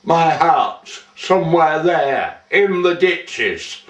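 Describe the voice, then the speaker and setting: Man reciting as a wistful young heiress, slow, echoey. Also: fairly high pitch, expressive, pitched above the performer's own voice. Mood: fond, teasing. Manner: theatrical, measured, with lingering pauses. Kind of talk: dramatic poetry recitation. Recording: roomy echo, distant microphone.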